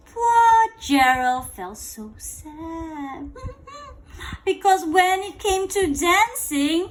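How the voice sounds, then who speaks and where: A woman reading a picture book aloud to a child in a playful, expressive way, drawing out words and asking questions. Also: high voice. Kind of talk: animated bedtime read-aloud with questions to a child.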